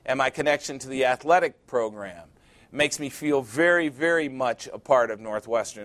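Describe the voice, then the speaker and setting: A man in his sixties preaching a sermon, emphatic and repetitive, building to loud peaks. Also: medium pitch. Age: 60s.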